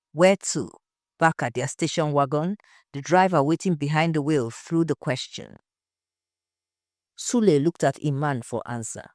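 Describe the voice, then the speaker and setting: A woman's voice: deep voice, a little mellow, dry recording, studio quality. A woman narrating a scripted audio story.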